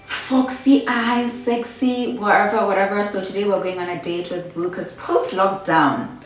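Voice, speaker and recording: A woman's voice: medium pitch, steady tone, unhurried, heavy reverb, distant mic, faint music behind, low-quality recording. A young woman with a Botswana accent, casually chatting and storytelling while doing her makeup, full of "like" and "my girl". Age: young adult. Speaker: beauty vlogger. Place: small bedroom indoors.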